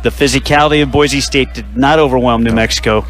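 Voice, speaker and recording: A man hosting a radio postgame broadcast, running through final stats and sponsor reads in a measured way. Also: mid-range voice, fairly even intonation, fairly bright tone, soft background music. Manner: stat-heavy, measured delivery with sponsor reads. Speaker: radio play-by-play announcer.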